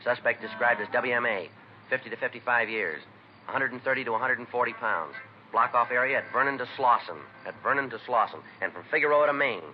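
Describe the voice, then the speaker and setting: An actor playing a weary, businesslike police detective in a 1950s radio crime drama, terse, deadpan and matter-of-fact. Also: male voice, medium pitch, fast, lightly acted. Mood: official, urgent. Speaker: police detective narrating the case. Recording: muffled, noisy vintage radio recording.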